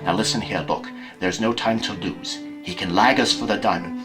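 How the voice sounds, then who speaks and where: A man's voice: lowish voice, normal speed, over music, pitched below the performer's own voice, lightly acted. A man playing a scheming villainous colonel in an audio drama, conspiratorial, clipped and impatient. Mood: urgent. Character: scheming, tense villainous colonel, leader of crooks. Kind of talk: amateur audio drama acting.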